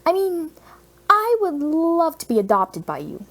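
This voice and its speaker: An eager, awestruck teenage boy played by a woman narrator, pitched up high. He speaks earnestly and haltingly, tripping over his words with nerves, in a storybook reading with a soft-edged tone. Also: huge pitch swings, exaggerated voice. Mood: flustered, eager.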